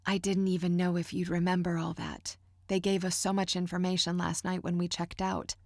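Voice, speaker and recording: A woman's voice: fairly low pitch, flat delivery, moderate pace, no room sound, studio quality. Woman reading as a sweet, doting mother. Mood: gushing. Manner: soft, doting, cooing. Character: sweet, overly caring middle-aged mother.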